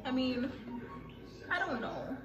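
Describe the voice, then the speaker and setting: A young woman talking casually to the camera while baking, unsure of herself and thinking out loud, in a home kitchen. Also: high-pitched, animated, slow pace, lo-fi sound. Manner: unsure, self-mocking, thinking aloud. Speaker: vlogger. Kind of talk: casual baking vlog narration. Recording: roomy kitchen echo with loud music. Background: pop music playing.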